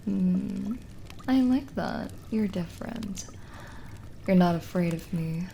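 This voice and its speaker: A woman playing a lonely, kindly witch in an intimate ASMR roleplay, speaking softly and breathily with a gentle teasing manner, often near a whisper. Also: medium pitch, creaky, slight background noise. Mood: soft, flirtatious. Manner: slow, hesitant, gently teasing.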